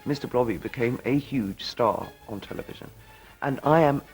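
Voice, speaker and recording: A man's voice: mid-range voice, moderate pace, a little mellow, rough recording. A man, a stage performer, speaking with emphatic theatrical phrasing in an interview. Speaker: pantomime performer. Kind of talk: talking-head interview. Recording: faint music behind, VHS tape.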